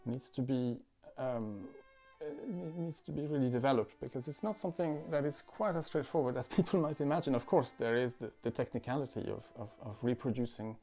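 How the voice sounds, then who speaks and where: A man in his forties from France, a musician talking thoughtfully at home, with many pauses, fillers and restarts. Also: medium pitch, dark tone. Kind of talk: reflective interview talk. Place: living room at home.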